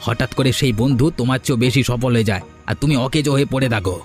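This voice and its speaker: A man's voice: medium pitch, racing, faint music behind, close to an everyday voice. A man voicing a jealous, short-tempered poor farmer in a cartoon, sour and sarcastic. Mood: bitter. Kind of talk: Bengali cartoon voice acting.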